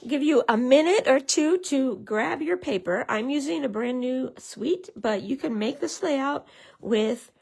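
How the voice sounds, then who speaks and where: Chatty craft demonstrator, woman, hoarse with a head cold, gravelly. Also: high-pitched, lively intonation, a little slow, darkish tone. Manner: chatty, greets viewers, many fillers. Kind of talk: casual live craft tutorial.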